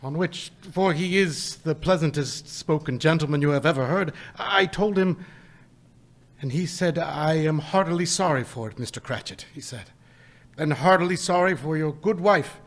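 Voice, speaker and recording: An actor playing a gentle, poor father who speaks tenderly to his family about his lost little son in a live radio play. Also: a little high, normal speed, close to an everyday voice. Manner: tender, soft, choked-up.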